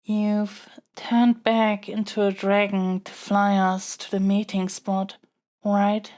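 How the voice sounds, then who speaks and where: A woman's voice: medium pitch, little pitch movement, darkish tone, close to an everyday voice. A woman playing an earnest, flustered young princess in an intimate audio roleplay, stammering and hesitating as she pleads. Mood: hurt, resigned. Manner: halting, hesitant, stammering delivery. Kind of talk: audio roleplay acting, intimate monologue to the listener.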